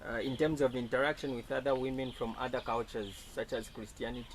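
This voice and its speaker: A man's voice: medium pitch, steady tone, fast talker. A man with a Zambian accent hosting a talk show and putting questions to a guest. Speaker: talk show interviewer and host. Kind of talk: interview questioning.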